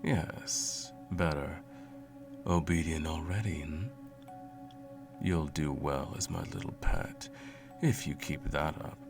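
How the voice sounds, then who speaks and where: Male actor as evil sorcerer, bottomless bass, heavy gravelly fry, theatrical menace, haughty cruelty, ASMR fantasy roleplay. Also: animated, full character voice. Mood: mocking, domineering. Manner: slow, menacing, condescending, theatrical drawl. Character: cruel, smug, all-powerful evil male sorcerer, lonely. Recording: music playing under the voice. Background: music.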